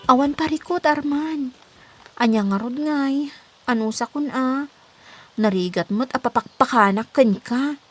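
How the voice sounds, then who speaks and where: A woman acting as a young working woman in a comedy drama, with a very high voice. She is practical and firm, speaking matter-of-factly. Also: measured pace.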